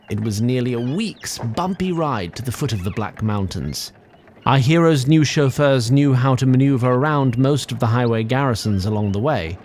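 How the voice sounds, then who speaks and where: A man narrating a scripted fantasy audio drama in a formal, deliberate way, addressing the listener. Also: medium pitch, darkish tone, a little hiss or hum.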